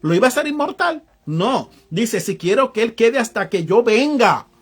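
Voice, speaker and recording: A man's voice: very high-pitched, expressive, moderate pace, breathy edge, faint music behind. A man with a Puerto Rican accent preaching a sermon, reading Bible verses aloud and explaining them in casual everyday slang. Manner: reads verses aloud then explains them in casual local slang, greets online viewers. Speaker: evangelist preacher.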